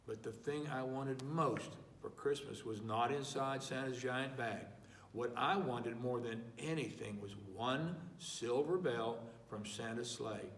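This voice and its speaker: A man reads a picture book aloud with slow, deliberate, expressive pacing. His pitch is middling. He sounds set back from the mic, in a roomy space with noticeable echo. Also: a little hiss or hum. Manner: slow, deliberate, expressive, clears his throat between pages. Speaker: parent volunteer reader. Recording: echoey room, mic far off.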